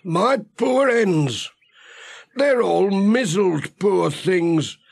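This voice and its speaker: A man's voice: high-pitched, animated, gravelly, slightly rough, pitched above the performer's own voice, heavily acted. A man voicing a grumbling Northern English farmer in an audiobook, mournfully fretting in dialect. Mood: complaining, gloomy. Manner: mournful, drawn-out complaining with dialect words. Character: grumbling male farmer fretting over his hens.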